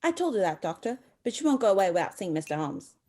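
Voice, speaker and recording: A woman playing a gossipy, sharp-tongued village housekeeper in a radio play, brisk and folksy. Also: mid-range voice, very animated. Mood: brisk, tart.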